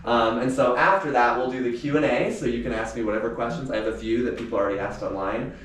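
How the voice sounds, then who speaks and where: A man's voice: medium pitch, fast, fairly bright tone. A man, an author, talking informally and reading his story aloud at a live book event. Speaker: teacher. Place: indoor venue with a small live audience. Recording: very echoey room, distant mic, faint noise.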